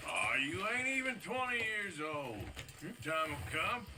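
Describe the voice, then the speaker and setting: An actor playing a seasoned, wry American gunslinger in a Western video game, drawling and skeptical. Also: male voice, high voice, sing-song, measured pace, background noise. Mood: wry, teasing. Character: seasoned, wry male Old West gunslinger. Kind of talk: video game dramatic acting.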